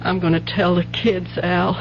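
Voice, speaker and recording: An actress playing a weary, resigned middle-aged mother, newly widowed, speaking haltingly in a 1970s radio drama. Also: lowish voice, level tone. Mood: subdued, regretful. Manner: slow, halting. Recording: dull vintage radio recording, faint hum.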